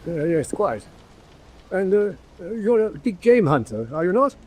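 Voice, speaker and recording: A man's voice: high-pitched, big pitch swings, moderate pace, darkish tone, slightly rough, exaggerated voice. A man playing a courteous, dithering senior British admiral in a radio drama, genteel and hesitant. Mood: genial, flustered. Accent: British English.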